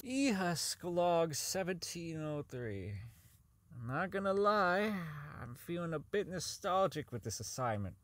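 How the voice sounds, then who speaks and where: Rambling, slow-talking oddball inventor played by a man. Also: on the high side, very animated, fairly bright tone, slight background noise. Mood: nostalgic, distracted. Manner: rambling, stammering, repeating himself, profane. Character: socially inept, unravelling robot designer recording a work log. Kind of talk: audio drama monologue as a spoken log.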